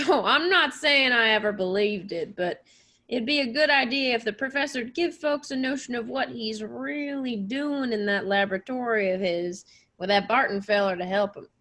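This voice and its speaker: A woman's voice: on the high side, moderate pace, sharp tone. A woman voicing a folksy, gossipy small-town male sheriff with hesitant, rustic phrasing in a radio play. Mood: folksy, cautioning.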